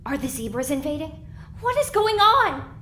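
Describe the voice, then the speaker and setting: A woman's voice: high-pitched, big pitch swings, moderate pace, sharp tone, slight background noise, heavily acted. A woman voicing a bewildered, anxious young pony mare who questions everything, in an audio drama. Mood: confused, anxious.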